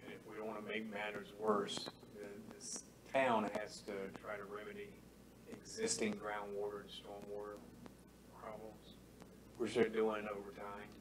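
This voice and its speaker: Committee member, adult man, low monotone, voice going hoarse, earnest, faint room hum. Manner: earnest, measured, repeats key phrases. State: losing his voice. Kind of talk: public meeting discussion. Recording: faint room noise, meeting hall.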